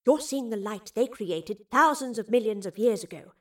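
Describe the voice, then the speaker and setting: A woman's voice: a little high, expressive, moderate pace, far from the mic. A woman voicing an eccentric woman professor who explains things lyrically and affectionately in an audio storybook. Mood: wondering.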